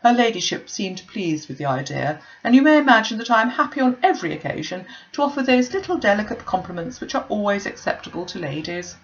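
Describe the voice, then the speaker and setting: A woman reading aloud, voicing a pompous, long-winded young clergyman who fawns and flatters. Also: medium pitch, fast talker, few pauses, dull audio.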